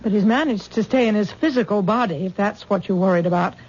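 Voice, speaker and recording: Actress as protective older mother-in-law, brisk and sensible, period radio sound. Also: mid-range voice, darkish tone, close to an everyday voice. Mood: guarded. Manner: brisk, no-nonsense. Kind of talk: 1970s radio acting. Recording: dull old radio broadcast, faint hiss.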